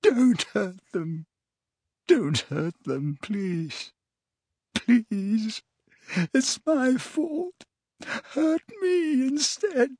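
Elderly wizard, high breathy wail, tormented, weakened, pleading, sobbing, audiobook fantasy figure. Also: male voice, animated, a little mellow, dry recording, clean studio sound, exaggerated voice. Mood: sobbing, remorseful. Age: older. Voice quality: breathy, wavering, high moaning voice. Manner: sobbing, pleading, drawn-out wails.

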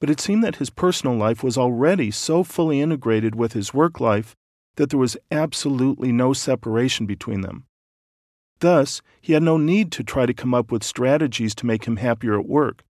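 A man narrating an audiobook, calm and measured, explaining ideas patiently. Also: medium pitch, no room sound, studio quality.

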